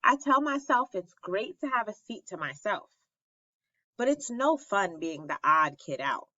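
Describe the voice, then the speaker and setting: A woman reads a children's story aloud expressively, with dramatic pauses and emphasis on key phrases. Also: medium pitch, sing-song, pushed and bright. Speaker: storybook reader at an elementary school. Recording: roomy, slightly dull lo-fi recording.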